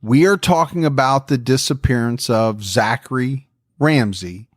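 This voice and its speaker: A man hosting a true crime podcast, telling the story in a relaxed, friendly way and chatting with his co-host. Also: medium pitch, measured pace, no echo, clean studio sound.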